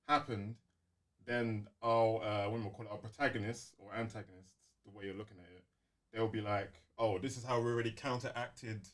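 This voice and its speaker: A man chatting casually on a podcast, talking in a hesitant, rambling, noncommittal way. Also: mid-range voice. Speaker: podcast co-host. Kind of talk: casual chat.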